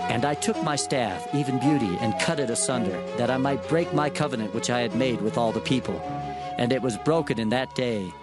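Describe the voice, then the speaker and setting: Man acting a solemn prophet over clear music. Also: medium pitch, lightly acted. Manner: measured, solemn, declamatory. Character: solemn male Hebrew prophet, earnest and grave. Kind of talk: dramatized scripture reading.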